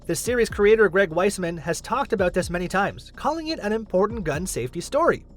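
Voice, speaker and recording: Guy with a pretty high voice doing scripted list narration, music in the back. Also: lively intonation, fairly quick. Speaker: host, narrator. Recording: music under the voice. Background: background music.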